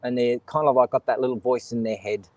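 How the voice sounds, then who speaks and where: An Australian man hosts a loose, joking chat, laughing easily and reading viewer comments aloud. His voice sits at a middling pitch. The sound is close and dry, with no room around it. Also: steady tone, a little fast, no echo. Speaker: host. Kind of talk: casual chat.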